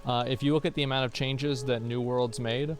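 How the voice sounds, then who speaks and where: A man's voice: medium pitch, fairly even intonation, a little fast. A young man streaming a game from home, chatting casually with viewers, answering their questions in a blunt, rambling way. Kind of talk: casual chat with livestream viewers while gaming.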